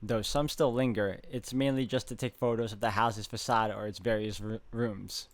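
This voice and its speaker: A man playing through a visual novel and reading its narration aloud in an expressive storytelling manner. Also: fairly low pitch, normal speed, no echo. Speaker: video game walkthrough player. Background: game music.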